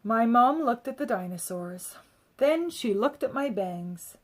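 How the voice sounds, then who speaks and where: A woman's voice: a little high, animated, a little slow. A woman reading a children's story aloud with expression. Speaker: storybook reader.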